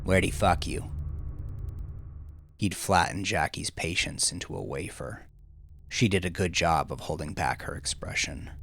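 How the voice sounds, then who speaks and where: A man's voice: low voice, normal speed, creaky, sharp tone, slight background noise. A man reading crime fiction as a narrator in a dramatic, scripted style.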